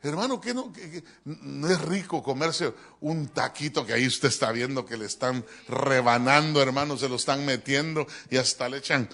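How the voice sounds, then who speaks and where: A man preaching a sermon as a pastor, animated and joking, often addressing his listeners as brother. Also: mid-range voice, moderate pace, vocal fry, few pauses. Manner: animated, joking, keeps calling listeners "hermano". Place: church.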